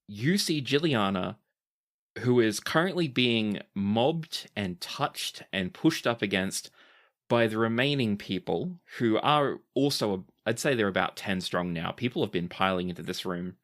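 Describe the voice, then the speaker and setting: A man narrating a tabletop role-playing game as game master, describing scenes and calling for dice rolls. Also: a little slow. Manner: lively, shifting pitch as he narrates.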